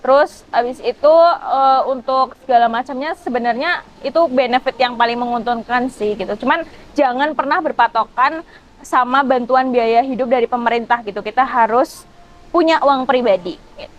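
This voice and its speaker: Young woman, high pitch, student guest, casual, hesitant phrasing, faint background noise. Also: normal speed, highs cut off. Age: young adult. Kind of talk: casual interview talk.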